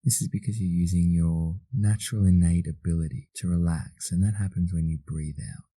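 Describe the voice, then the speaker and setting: Australian man, meditation instructor. Deep, very soft dark tone, soothing delivery with long pauses. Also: steady tone, slightly rough, no room sound. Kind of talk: guided meditation narration.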